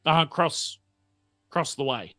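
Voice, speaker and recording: Bright-voiced man as game master. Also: on the high side, animated, no room sound, faint music behind. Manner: halting, conversational scene-setting. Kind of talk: improvised tabletop game narration.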